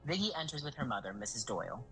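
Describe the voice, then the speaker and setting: A woman's voice: very deep voice, big pitch swings, very fast, a bit bright, soft background music. A woman reading scripted stage-direction narration as the narrator of an audio drama.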